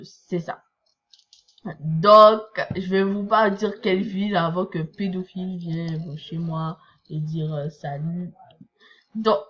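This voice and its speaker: A woman's voice: lowish voice, highs cut off, slight background noise. Young Québécois woman, rambling chatter. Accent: Québécois French. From Quebec, Canada. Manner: chatty, rambling, many fillers. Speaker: anime reaction vlogger. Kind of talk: casual live manga reading and reaction.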